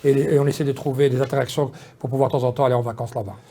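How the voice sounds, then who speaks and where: A man's voice: mid-range voice, little pitch movement, very fast, dark tone. A man, talking casually in an interview, rambling with many fillers and restarts. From Belgium. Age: 50s. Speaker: politician guest.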